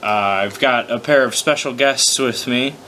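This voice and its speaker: A man's voice: medium pitch, measured pace, sharp tone. Casual, self-deprecating man reading over background noise. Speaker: host reading own fan story aloud. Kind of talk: unscripted story reading with casual commentary. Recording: noticeable background noise, amateur one-take recording.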